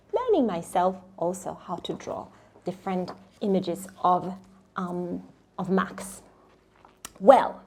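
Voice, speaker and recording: A middle-aged woman hosting a children's read-along, telling stories in an expressive, dramatic way that sometimes drops into a hush. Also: medium pitch, slightly rough. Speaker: host of a children's read-along and craft show. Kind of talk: animated storytelling for children.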